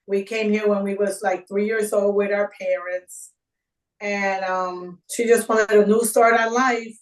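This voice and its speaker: Slow-talking middle-aged woman, off-mic. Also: mid-range voice, fairly even intonation, far from the mic, poor audio. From Puerto Rico. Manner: casual, informal speech. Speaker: guest, community organizer. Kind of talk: casual interview answer. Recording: voice away from the mic.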